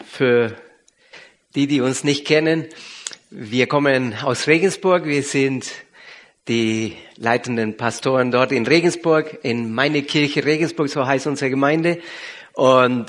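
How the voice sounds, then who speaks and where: A middle-aged man with an Argentine accent and native Spanish, speaking haltingly to a congregation as a visiting pastor. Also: medium pitch. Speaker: visiting pastor and regional church leader. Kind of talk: sermon introduction to a congregation.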